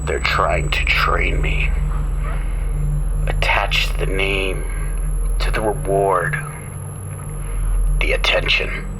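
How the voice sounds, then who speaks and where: A man delivering a hushed, paranoid horror monologue as a radio show narrator, often whispering. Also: low voice, flat delivery, very slow, pauses often, background noise.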